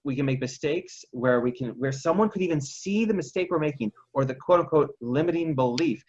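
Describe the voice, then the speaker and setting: A man's voice: fairly high pitch, expressive, a little fast, darkish tone. A man from Texas, a guest on a podcast, telling his story reflectively with frequent restarts. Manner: reflective, many restarts and self-corrections. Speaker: guest entrepreneur and coach. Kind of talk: casual interview storytelling. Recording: slightly dull lo-fi sound.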